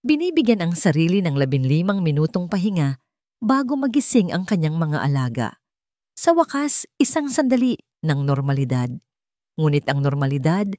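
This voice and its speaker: Low-voiced woman narrating a dramatic story. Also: darkish tone, no room sound, clean studio sound.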